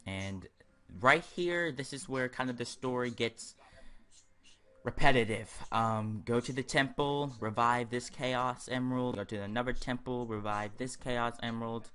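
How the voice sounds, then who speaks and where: A man's voice: medium pitch, measured pace, sharp tone, clean tone. A man giving casual, rambling let's-play commentary, sharing his opinions as he goes. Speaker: host of a gaming video series.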